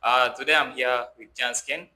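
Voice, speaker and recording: Bright-voiced young man hosting. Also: medium pitch, steady tone, moderate pace. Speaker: podcast host. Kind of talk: conversational podcast discussion. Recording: roomy echo with faint background noise.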